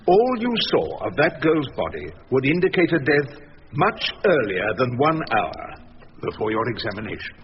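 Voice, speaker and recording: An actor playing a wry, tenacious older English defence barrister in a radio drama, speaking and narrating in a deliberate, theatrical, rhetorical way. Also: male voice, medium pitch, very animated, lightly acted. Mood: probing. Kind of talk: radio drama acting and first-person narration. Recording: dull radio recording with background noise.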